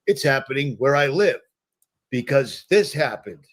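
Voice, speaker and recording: A man's voice: mid-range voice, animated, a little slow, noisy background. A man in his fifties from the United States, hosting a live reaction stream and ranting in a conspiratorial, repetitive way. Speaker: host.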